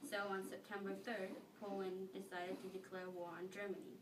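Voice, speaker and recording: A woman's voice: mid-range voice, level tone, fairly quick. A teenage girl reading a scripted school newscast as the student anchor. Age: teens. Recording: very noisy, echoey room, distant mic.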